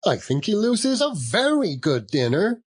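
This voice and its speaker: A man's voice: high voice, huge pitch swings, measured pace, breathy edge, pitched above the performer's own voice, heavily acted. A man voicing a spirited, indignant young wife in an audiobook. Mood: protesting. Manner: indignant, interrupting. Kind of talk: audiobook character voice.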